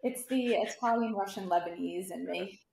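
A woman's voice: medium pitch, normal speed, vocal fry, a little mellow. A woman speaking as a guest in a casual interview about her professional life. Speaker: podcast guest, dental services executive. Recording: echoey, roomy sound.

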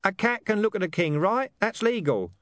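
A man's voice: sits high, big pitch swings, moderate pace, a bit bright, no room sound, clean studio sound, pitched above the performer's own voice. A man voicing a talking street dog from an audiobook, streetwise, sardonic and mercenary, with a slangy, dismissive manner. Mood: mercenary, insistent.

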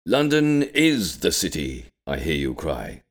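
A man's voice: rumbling low, huge pitch swings, takes it slowly, full character voice. A man voicing a teasing, declamatory grandfather who was a Shakespearean actor, in a narrated story. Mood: grandly teasing.

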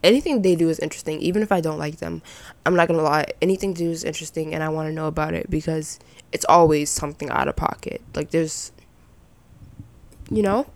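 A young woman with a slightly raspy voice and some vocal fry at sentence ends, chatting casually and giving her opinions as a podcast host. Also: deep voice, steady tone, fast. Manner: informal, rambling, opinionated. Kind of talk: casual chatty solo commentary.

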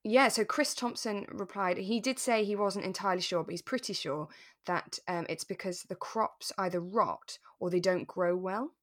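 A woman podcast co-host chats casually at a medium pitch. She leans on words and repeats them for emphasis. The sound is dry and close, with very little room in it. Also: moderate pace, no echo. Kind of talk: casual chat about a radio drama.

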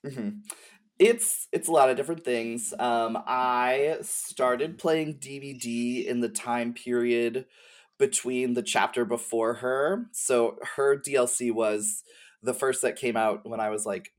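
A man's voice: medium pitch, steady tone, takes it slowly. A man chatting casually as a guest game streamer, talking in a chatty, filler-filled way. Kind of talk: casual chat.

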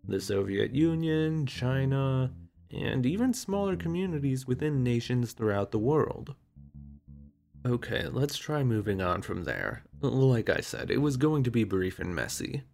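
A man with a gravelly, creaky voice narrates history in a scripted, conversational way. His delivery stays wry and relaxed, with dry little asides. Soft guitar music plays faintly underneath. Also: medium pitch, a little slow.

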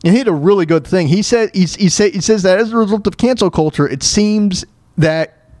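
A man hosting a solo talk show, speaking casually in a halting, stammering way with repeated false starts and a plaintive, self-deprecating manner. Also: sits high, a little mellow, no room sound. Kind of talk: casual personal rant and storytelling.

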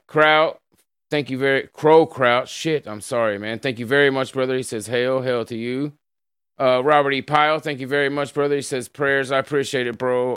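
A man hosting a livestream show, chatting casually with a co-host and reading out donations. He swears a lot, laughs often and trails off quietly at the end of sentences. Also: medium pitch, clean tone, no room sound, clean studio sound. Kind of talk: casual chat.

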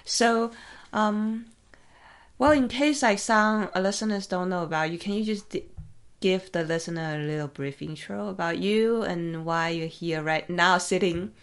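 A podcast host with a somewhat low voice for a woman, interviewing a guest in her office. She is inquisitive, often jumping in with follow-up questions in a casual, conversational way. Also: unhurried. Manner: curious, asks probing follow-up questions.

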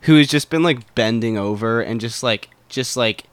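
A man's voice: mid-range voice, normal speed, clean tone, no room sound. A man co-hosting a podcast, rambling in casual chat full of fillers. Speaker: podcast co-host.

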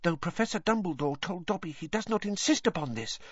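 A woman voicing an excitable, timid male house elf in a storybook, with a squeaky voice and deferential, broken third-person grammar. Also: brisk, slightly rough, no echo, lo-fi sound. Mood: confidential, serious.

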